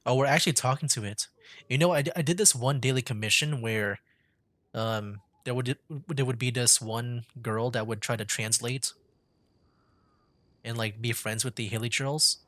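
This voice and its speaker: A man's voice: mid-range voice, normal speed, a bit bright, no room sound. A man giving casual, amused commentary while streaming a video game. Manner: chatty, amused.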